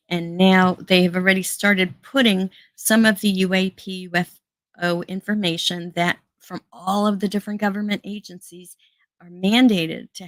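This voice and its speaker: An American woman hosts an online show in a low, close voice with a dry sound. She talks earnestly and casually to her viewers, with plenty of fillers and restarts along the way. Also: fairly bright tone, no echo, low-quality recording. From United States. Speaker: host.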